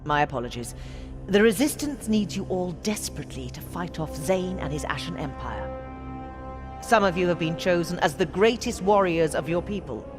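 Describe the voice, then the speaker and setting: An actress voicing a stern, middle-aged resistance leader in a video game, grave and authoritative. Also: female voice, fairly low pitch, animated, moderate pace, over music, close to an everyday voice. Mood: grave, briefing. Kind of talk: game cutscene briefing.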